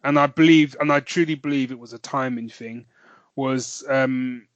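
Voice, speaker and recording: A man's voice: medium pitch, steady tone, moderate pace, no room sound, dull audio. A man co-hosting a podcast in casual chat, rambling and correcting himself, laughing easily. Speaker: podcast co-host and novelist.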